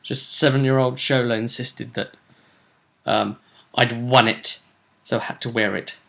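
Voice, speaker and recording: A man's voice: medium pitch, fairly even intonation, measured pace, creaky, a bit bright. A man, hosting a vidcast and giving a chatty, casual recap of a tabletop role-play tournament. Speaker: vidcast host.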